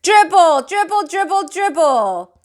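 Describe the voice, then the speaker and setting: A woman's voice: extremely high pitch, big pitch swings, slow, pitched above the performer's own voice, full character voice. A woman voicing a boisterous, proud three-year-old boy who hollers in short chanted words, in a storybook. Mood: excited, hollering.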